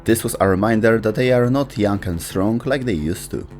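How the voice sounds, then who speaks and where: A man's voice: fairly low pitch, a little fast, darkish tone, faint music behind. A man delivering scripted narration as the narrator of a video essay.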